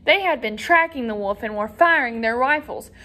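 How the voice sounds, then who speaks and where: Animated, high-voiced woman reading a storybook. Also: moderate pace, a little hiss or hum. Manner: expressive, dramatic emphasis for young listeners. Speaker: teacher reading a story aloud to children. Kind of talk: animated storybook read-aloud.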